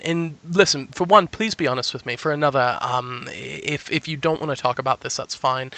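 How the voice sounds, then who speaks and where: A man's voice: fairly high pitch, bright, forceful tone, no room sound. A man giving a casual one-on-one psychic reading in a chatty, reassuring way, often checking that he is understood. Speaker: psychic reader.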